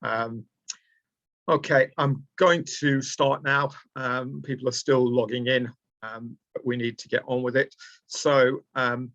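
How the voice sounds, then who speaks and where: A man's voice: medium pitch, steady tone, takes it slowly, fairly bright tone, no room sound. A middle-aged man with a Lancashire accent presents a live online training session, speaking hesitantly with frequent ums and uhs. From Preston, Lancashire, England. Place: indoors, small room. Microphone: webcam on a video call. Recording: dull lo-fi video call audio.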